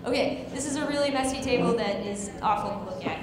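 A woman's voice: a little high, fast talker. A woman giving a casual science talk to a live audience at a pub event, explaining step by step with lots of fillers, speaking into a handheld mic. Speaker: guest speaker. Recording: echoey hall, loud crowd chatter.

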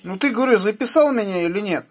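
A man's voice: sits high, animated, quick pace. A man talking casually as an amateur radio operator, indoors in a small room. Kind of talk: casual ham radio chat.

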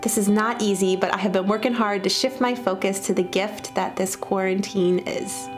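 A woman's voice: mid-range voice, fairly even intonation, normal speed. Chatty Midwestern woman narrating recipes. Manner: cheerful, chatty, walks through recipe steps. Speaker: cooking channel host. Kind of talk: voice-over cooking narration. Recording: voice-over under loud music. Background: background music.

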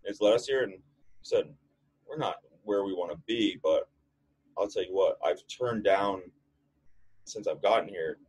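A man's voice: low-pitched, a little slow, long pauses, a little mellow, breathy edge, rough recording. A man in his late twenties, a strength coach co-hosting a podcast, talking bluntly in casual chat and swearing freely.

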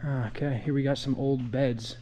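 A man exploring an abandoned building, giving low-key running commentary into a body-worn camera as he walks. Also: steady tone, normal speed, noisy background. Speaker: urban explorer. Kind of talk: walkthrough narration while exploring. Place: outdoors then inside a large empty building. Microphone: body-worn action camera.

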